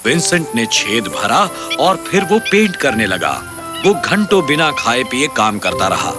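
Man, children's story narrator, medium pitch, expressive swings, music behind.

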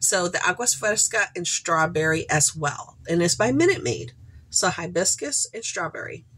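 A woman's voice: on the low side, expressive, moderate pace, fairly bright tone, slight background noise, poor audio. A woman in her forties, congested, chatting casually to camera as host of her shopping haul vlog from a room at home. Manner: chatty, rambling, upbeat asides. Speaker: haul vlogger, channel host. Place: home room with shelves, indoors.

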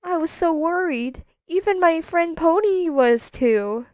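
A woman's voice: very high voice, lively intonation, measured pace, a little mellow, no echo, lo-fi sound, pitched above the performer's own voice. A woman voicing a worried, caring mother in a roleplay story, fretful and affectionate. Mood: worried, relieved.

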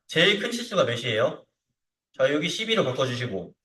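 A man teaching a hands-on software lesson step by step, informally, often checking with short tag questions that the learner is following. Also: on the high side, big pitch swings, normal speed. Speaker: CAD instructor. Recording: roomy echo, distant microphone.

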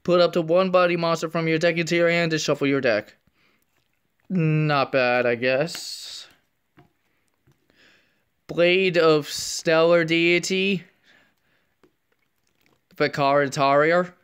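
A man reads card names aloud in a soft, almost whispered voice, slow and unhurried. His tone is smooth and clear. He talks casually to his viewers while he opens packs at a table, filmed close on a phone. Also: on the high side, fairly even intonation. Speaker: card unboxing youtuber. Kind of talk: casual card pack opening commentary. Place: indoors at a table, hands only on camera.

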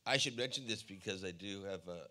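A man in his fifties telling anecdotes haltingly on a stage panel into a handheld microphone. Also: low voice, bright, forceful tone, a little hiss or hum. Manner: halting, pausing between phrases. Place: museum auditorium stage.

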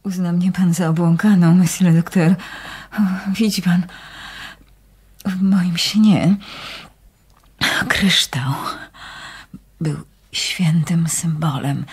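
A troubled nun in a radio play. Her voice is fairly low and very husky, nearly dissolving into breath. She speaks slowly and haltingly, repeating words in a dreamy, fragile manner. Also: full character voice. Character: troubled, dreamy nun recounting visions, easily frightened. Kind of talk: Polish radio play acting.

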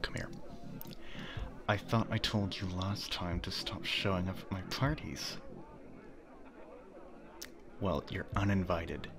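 A man playing a cocky, irritable young party host in an ASMR roleplay, talking casually and haltingly. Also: low voice, noisy background. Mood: irritated, brusque. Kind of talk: ASMR roleplay audio drama.

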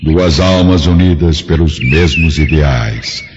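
A man's voice: extremely low pitch, takes it slowly, background noise. A man delivering scripted dramatic narration as the narrator of a radio play. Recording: dull sound with music behind.

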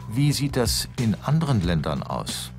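A man's voice: fairly low pitch, sing-song, measured pace. A man with a standard German accent reads scripted television documentary narration. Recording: music behind the voice. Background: background music.

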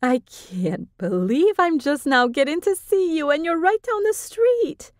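A high, gushing aunt who fusses over everyone, as a woman reads her. She drawls out her sweet words in a bright, lifted voice. Dry, close recording. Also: animated, unhurried, no room sound. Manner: gushing, doting. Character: affectionate, touchy-feely middle-aged aunt.

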